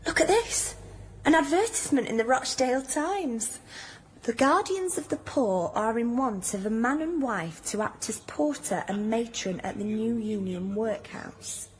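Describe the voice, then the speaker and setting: An actress playing a down-to-earth hospital nurse in a radio drama, with a Northern English accent and a blunt, teasing, colloquial way of talking. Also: female voice, fairly high pitch, lively intonation, unhurried, slight background noise, poor audio. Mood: storytelling. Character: down-to-earth hospital nurse, teasing, plain-spoken old friend. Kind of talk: naturalistic radio drama dialogue.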